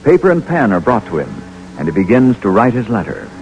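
A man's voice: lowish voice, big pitch swings, fairly quick, poor audio. A man with an American accent announcing and narrating an old-time radio drama in a formal, dramatic style. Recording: vintage radio broadcast over loud music.

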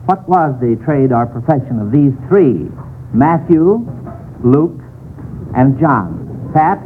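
A man's voice: medium pitch, very animated, slow. An American man hosting a 1940s radio quiz, encouraging the contestants. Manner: chuckles often, encouraging to contestants. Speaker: quiz show host. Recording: tinny, faintly noisy old radio broadcast.

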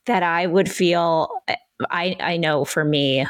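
A woman co-hosting a podcast in a casual, conversational style, with halting, rambling phrasing. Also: on the low side, steady tone, clean tone, no room sound, studio quality. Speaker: podcast co-host interviewer. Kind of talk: casual interview chat.

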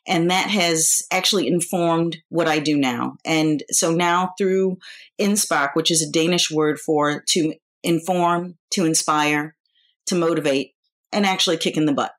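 An American woman in her fifties, originally from New York, speaks in a fairly low voice. She is an interview guest answering in a casual, conversational style, feeling out her phrasing as she goes. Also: a little slow. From New York, United States. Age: 50s.

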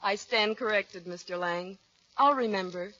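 Muffled vintage radio recording, female commercial presenter, medium pitch, polite scripted delivery. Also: measured pace, sharp tone. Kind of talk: scripted 1940s radio advertisement.

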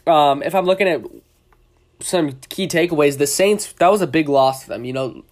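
A man's voice: a little high, normal speed, darkish tone. A young man with a cold and a stuffy, congested voice, hosting a casual sports podcast in a loose, rambling way, often correcting himself mid-sentence. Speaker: podcast host.

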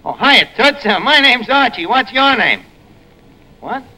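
Brash, bright-voiced New York barkeep. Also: male voice, very high voice, big pitch swings, a little slow, exaggerated voice. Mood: brash, coaxing. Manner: ungrammatical street talk with malapropisms. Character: wisecracking lowbrow tavern manager, brash, flustered fixer. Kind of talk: 1940s radio comedy acting. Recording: noisy old radio recording.

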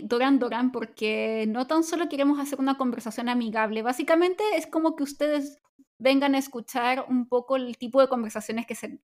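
High-voiced Chilean woman chatting. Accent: Chilean Spanish. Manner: chatty, upbeat, frequent restarts and fillers. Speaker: podcast co-host. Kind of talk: casual chat.

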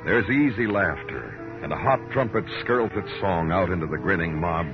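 A muffled old radio recording of a world-weary American police detective. The voice is very low with wide swings of pitch, brooding and hard-boiled in classic noir style. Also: male voice, lively intonation, moderate pace, music in the background. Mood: brooding, poetic. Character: grim, world-weary male New York police detective. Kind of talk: 1950s radio noir acting.

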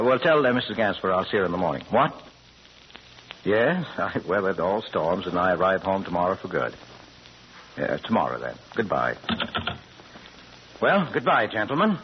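An actor playing a genial, evasive, educated professor among crooks, courteous and precise, in old-time radio drama. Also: male voice, deep voice. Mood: polite, cheerful. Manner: courteous, hesitant, chuckling, precise diction. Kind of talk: 1930s-40s radio drama acting. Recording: dull, lightly noisy old radio recording.

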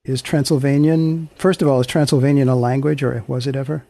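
A man's voice: mid-range voice, fairly even intonation, fairly quick, vocal fry, mellow, no room sound, studio quality. A man hosting a podcast, moving between scripted introductions and interview questions. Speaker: podcast host and magazine editor.

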